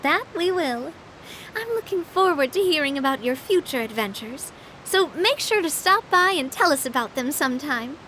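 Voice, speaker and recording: A woman's voice: high-pitched, very animated, normal speed, fairly bright tone, slight background noise, low-quality recording. A woman playing a loyal, friendly young adventuring comrade in a video game, speaking in a casual way. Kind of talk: video game character acting.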